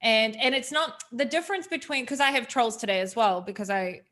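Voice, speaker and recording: A woman's voice: a little high, fairly quick, fairly bright tone. A woman, chatting casually as an interview guest with frequent ums. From New Zealand.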